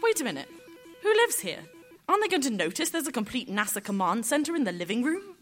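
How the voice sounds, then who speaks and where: Woman playing a high, wide-eyed, curious young pup newcomer. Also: very animated, fast, soft background music. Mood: amazed, inquisitive. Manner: inquisitive, wryly amazed. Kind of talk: live audio theatre acting.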